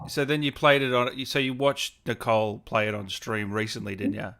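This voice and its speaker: A man's voice: on the low side, little pitch movement, moderate pace, creaky, fairly bright tone. A man, hosting an informal interview in a casual, jokey way. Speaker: podcast interviewer, host.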